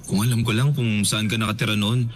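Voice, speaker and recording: Man voicing a gentle, hesitant young man. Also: on the low side, level tone, brisk, natural voice. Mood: concerned. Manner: gentle, hesitant, stammers when worried. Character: gentle caring young man, old friend. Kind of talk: Filipino radio-style drama acting.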